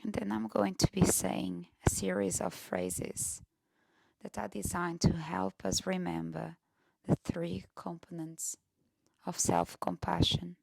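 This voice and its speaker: A woman leading a guided meditation in a gentle, soft-spoken way, often slipping into near-whisper with pauses. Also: fairly low pitch, vocal fry, no room sound, rough recording.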